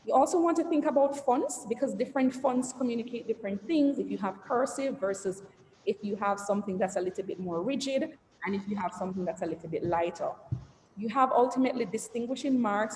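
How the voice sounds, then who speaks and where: Woman CEO leading an online branding session, chatty and interactive, middle-pitched, echoing room with long reverb. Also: fairly quick, darkish tone. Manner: conversational, asks the audience and reads the chat aloud, trails lists with "et cetera". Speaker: company CEO giving a branding presentation. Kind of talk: interactive online presentation to entrepreneurs. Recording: roomy reverberant sound with faint noise.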